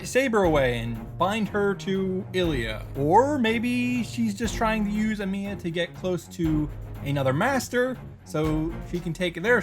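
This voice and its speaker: A man hosting a visual novel playthrough, casually summarizing and reacting to the story and swearing freely. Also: high-pitched.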